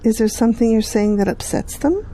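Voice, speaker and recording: Woman acting as a soft-toned, patient mother. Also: fairly high pitch, expressive, a little fast. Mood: gently questioning. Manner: gentle, encouraging, questioning. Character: patient, devout, reassuring middle-aged mother. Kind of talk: audio drama dialogue.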